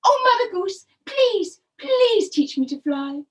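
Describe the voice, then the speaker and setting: A woman's voice: extremely high pitch, very animated, takes it slowly, pitched above the performer's own voice, full character voice. A woman storyteller voicing a hopeful little male turtle who pleads eagerly and sounds breathless with wonder. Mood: begging.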